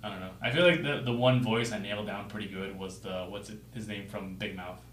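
A man's voice: lowish voice, steady tone, a little fast, fairly bright tone, echoey room, a little hiss or hum, poor audio. A man co-hosting a podcast in casual chat, hesitant and self-deprecating, swearing casually. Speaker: podcast co-host.

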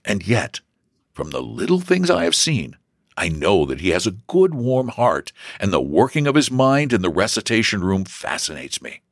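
Man reading as a lively good-humored young man. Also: lowish voice, normal speed, vocal fry, no room sound, studio quality, pitched above the performer's own voice, exaggerated voice. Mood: earnest.

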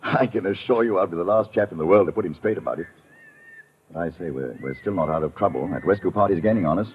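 An actor playing a genial, bemused British gentleman sidekick in a 1950s radio adventure, polite and given to old-fashioned understatement. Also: low-pitched, fast, close to an everyday voice. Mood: amused, concerned. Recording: muffled, noisy old radio recording.

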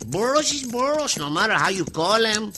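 An actor playing a simple, fearful, excitable Mexican burro driver in an old radio western, speaking exclamatory broken English with a Mexican accent. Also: male voice, sits high, normal speed, full character voice. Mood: grumbling, simple. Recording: noisy vintage radio recording.

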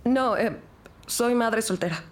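A woman acting a stunned, grieving single mother in an audio crime drama, speaking in a halting, subdued way. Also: on the high side. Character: grieving single mother of a boy who just died.

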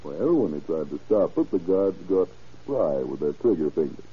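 A sly drug-dealing boss in an old radio play. The voice is deep and rough-grained, with very lively inflection and an unhurried, falsely genial air. The sound is dull, like an aged broadcast. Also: male voice, heavily acted. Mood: sly, boastful. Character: shrewd, smooth-talking crime boss, drug seller. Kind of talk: 1940s radio drama acting. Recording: muffled old radio recording.

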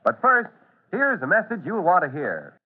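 A man's voice: sits high, wildly swooping pitch, normal speed, slightly rough, narrow, tinny audio. A man narrating an old-time radio serial as its announcer, with urgent, emphatic, theatrical delivery. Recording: thin, band-limited old radio recording.

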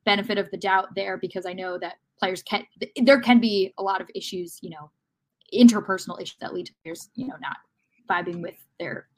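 A woman's voice: mid-range voice, brisk, poor audio. A woman talking casually and analytically as a sports podcast panelist, often hesitating and rephrasing as she goes. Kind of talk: casual football discussion.

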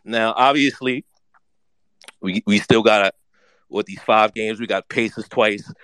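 A man chatting excitedly about his team in a slangy, repetitive way. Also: on the low side, measured pace, clear, resonant tone, no room sound, low-quality recording. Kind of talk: casual fan chat.

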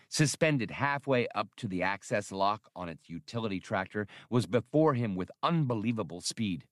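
A man's voice: fairly low pitch, fairly bright tone, dry recording, clean studio sound. A man reading an adventure novel as a dramatic scripted audiobook narration.

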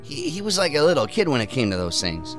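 A man's voice: mid-range voice, wildly swooping pitch, quick pace, over music. An actor playing a cynical, irritated son of a wealthy man in an audio drama, with a scornful, dismissive manner. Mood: guarded, wistful. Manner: scornful, dismissive, chuckling sarcasm. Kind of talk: naturalistic audio drama acting.

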